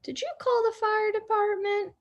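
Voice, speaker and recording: A woman's voice: very high-pitched, little pitch movement, normal speed, pitched above the performer's own voice. A woman voicing a polite, weary, matter-of-fact male firefighter in a children's storybook. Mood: questioning.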